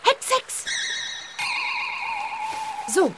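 A woman's voice: very slow, over music, low-quality recording. A woman playing a cheerful, confident young witch girl in a children's audio drama, bossy and playful.

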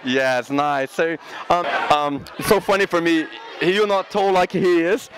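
A man's voice: a little high, steady tone, measured pace, background noise. A man in his thirties interviewing guests at a cinema event with a handheld mic, asking eager, rambling questions. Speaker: red carpet interviewer. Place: indoor cinema event, in front of a backdrop.

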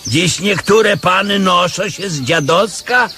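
An actor playing a wily, bossy blind beggar with a folksy, declamatory way of talking, in a radio comedy drama. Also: male voice, sits high, slow pace, bright, forceful tone, a little hiss or hum, heavily acted. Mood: sly, sceptical.

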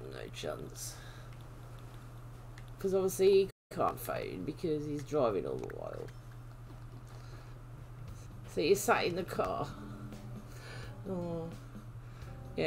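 British female game streamer, casual and unhurried, voice slightly worn, lively pitch movement with creak. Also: slight background noise. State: slightly losing her voice. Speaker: livestream host. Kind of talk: casual livestream chat while gaming. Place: indoor room at home.

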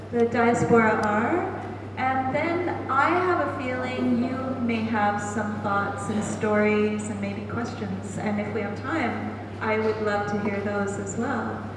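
A woman's voice: on the high side, takes it slowly. Canadian woman moderating in an echoey hall. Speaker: panel moderator. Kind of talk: festival panel talk. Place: large echoing festival hall. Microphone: handheld mic, far camera. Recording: echoey hall, distant, background noise.

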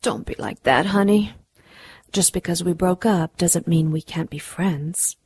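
An ancient demon queen for a radio play. Her voice is husky and recorded close and dry, with honeyed cruelty that hardens into imperious, mocking command. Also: fairly low pitch, a little slow, a little mellow, dry recording, exaggerated voice. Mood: sweetly coaxing. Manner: honeyed coaxing turning to haughty command. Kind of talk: radio drama acting.

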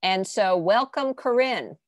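A woman's voice: mid-range voice, animated, slow pace, dry recording, clean studio sound. A woman hosting a virtual art reception over a video call, moderating in a friendly, organized way. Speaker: host.

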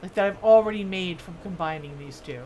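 A woman's voice: fairly low pitch, brisk, warm tone. Musing woman streamer over noisy game ambience. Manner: musing, half talking to herself. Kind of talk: casual live gameplay commentary.

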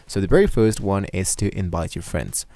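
Male tutorial host, somewhat low voice, casual and meandering, at a desk mic. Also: lively intonation, normal speed, warm tone, no room sound. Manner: loose, rambling, many fillers. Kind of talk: screen-recorded software tutorial. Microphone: desktop microphone at a computer.

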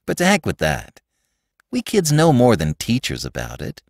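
A man's voice: mid-range voice, wildly swooping pitch, normal speed, gravelly, dry recording, clean studio sound, voice pushed up, full character voice. A man voicing a stubborn, irritable young schoolboy in a storybook reading, defiant and petulant. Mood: insistent, know-it-all.

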